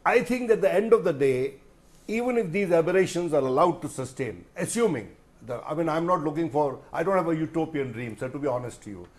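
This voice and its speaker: A middle-aged man with an Indian accent speaking as a journalist on a discussion panel, deliberate and emphatic, often repeating phrases. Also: fairly high pitch, animated.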